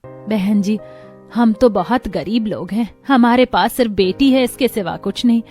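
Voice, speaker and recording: A woman voicing an older, poor widowed mother in a cartoon story, speaking softly and caringly, with faint music behind. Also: mid-range voice, measured pace, natural voice. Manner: soft, caring.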